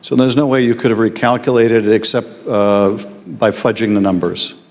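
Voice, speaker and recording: A man questioning a witness at a formal hearing in a measured, deliberate way. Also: on the low side, fairly even intonation. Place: large hearing room. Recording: long hall reverb.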